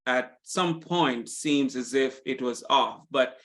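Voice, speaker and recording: A man's voice: medium pitch, slow, a bit bright. Affirming male podcast host. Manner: affirming, many fillers. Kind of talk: interview conversation.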